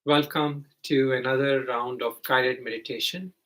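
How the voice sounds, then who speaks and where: Man guiding a meditation, mid-pitched voice. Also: fairly even intonation, measured pace, fairly bright tone, poor audio. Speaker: meditation teacher. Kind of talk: guided meditation instruction.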